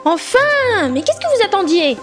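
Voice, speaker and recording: Audio comedy role: excitable young female companion, shrill high voice male performer, clear music bed. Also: normal speed, full character voice. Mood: indignant. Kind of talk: amateur audio comedy adventure acting. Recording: voice under prominent music.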